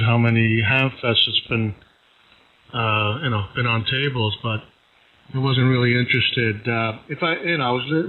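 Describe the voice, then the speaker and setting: A man's voice: mid-range voice, lo-fi sound. A man chatting casually as an amateur radio operator, in a hesitant, rambling, easygoing manner. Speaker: amateur radio operator hosting a live stream.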